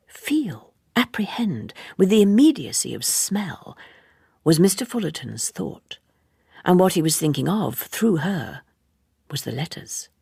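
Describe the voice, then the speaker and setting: A woman narrating a literary audiobook in a measured, expressive manner with deliberate phrasing. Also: fairly low pitch, creaky, breathy edge, no echo, clean studio sound.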